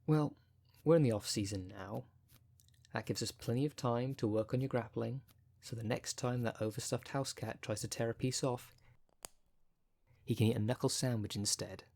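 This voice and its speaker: A man's voice: medium pitch, normal speed, creaky, pauses often, a little mellow. A man playing a wry, caring, foul-mouthed necromancer who coaches a zombie fighter in a casual, chatty ASMR audio roleplay. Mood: consoling, encouraging. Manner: chatty, colloquial, wry, consoling.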